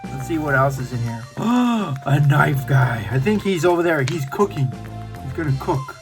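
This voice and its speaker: Playful, lively man teaching kids to draw. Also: a little high, normal speed, gravelly, music in the background. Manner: playful, encouraging, talks to kids directly. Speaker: children's drawing tutorial host. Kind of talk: playful drawing lesson for kids.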